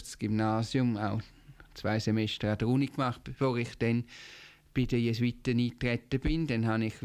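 An older man from Zurich with a Swiss German accent, answering radio interview questions in a measured way with frequent pauses and fillers. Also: medium pitch, a little mellow, clear, resonant tone. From Zurich, Switzerland. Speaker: guest, Jesuit professor.

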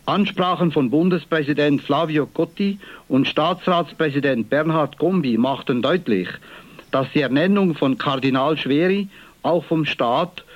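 A man from Valais with a Swiss accent, presenting church news on the radio in a formal, measured reading. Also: on the high side, no echo, highs cut off. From Valais, Switzerland. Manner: formal, measured, clear delivery.

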